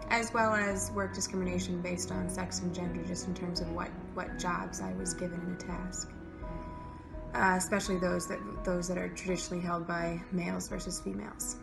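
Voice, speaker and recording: A woman's voice: lowish voice, level tone, moderate pace, vocal fry. A young woman from the American Midwest, a teacher, giving an earnest and articulate personal account. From United States, Midwest. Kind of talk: personal testimony. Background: music.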